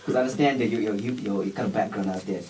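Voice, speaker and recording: Guy hosting a radio chat, lowish voice, rambly and laughing, kinda distant mic w/ faint hiss. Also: rapid-fire, a little mellow. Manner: laid-back, rambling, laughs often. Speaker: radio host. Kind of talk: casual radio interview.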